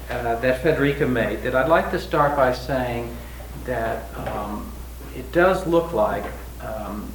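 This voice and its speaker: Guy giving a talk in a church hall, lots of uhs and restarts, echoey and kinda noisy. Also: medium pitch. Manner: hesitant, many fillers and restarts; says he has a big loud voice. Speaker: guest speaker. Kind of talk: lecture-style talk. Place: congregation hall. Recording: echoey room, distant mic, noisy.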